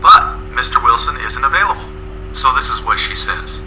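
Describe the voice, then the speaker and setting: A man narrating a scripted English lesson in a measured, explanatory way, as a presenter. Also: mid-range voice, expressive, noisy background.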